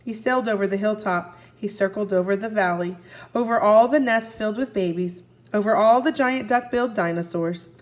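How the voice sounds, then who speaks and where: A woman teacher reading a story aloud to young children, expressively, pausing to recap and ask the listeners questions. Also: mid-range voice. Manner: expressive, asks listeners questions and answers for them. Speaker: first grade teacher.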